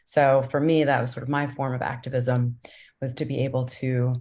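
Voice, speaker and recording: A woman born in Colombia, a documentary director, answering thoughtfully in a panel conversation with many pauses and restarts. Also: extremely low pitch, level tone, a little mellow.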